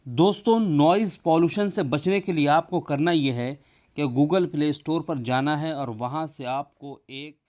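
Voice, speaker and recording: Guy doing a tech tutorial for his viewers, voice a bit on the high side. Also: measured pace, darkish tone. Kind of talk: explainer video addressed to viewers.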